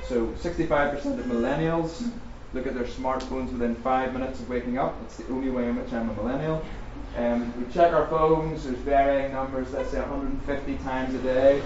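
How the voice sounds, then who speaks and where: A middle-aged man with a Northern Irish accent giving a jokey, fast-paced talk to a live audience, full of ums and questions to the room. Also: medium pitch. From Northern Ireland. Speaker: speaker at a faith and culture seminar. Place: large echoey room with an audience. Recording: roomy, distant, dull sound with faint hiss.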